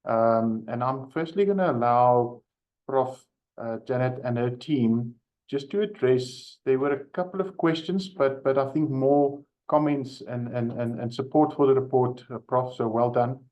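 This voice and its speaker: A man's voice: medium pitch, a little slow, darkish tone. A man chairing a formal event as moderator, handing over to speakers in a stumbling way with many fillers. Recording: slightly dull lo-fi sound.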